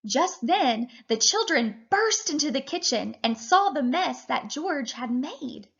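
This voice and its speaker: A woman in her thirties, a teacher reading and talking to children in an animated, deliberate way. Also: high voice, gravelly, dull audio. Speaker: teacher reading a picture book aloud to children. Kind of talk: expressive children's read-aloud and lesson.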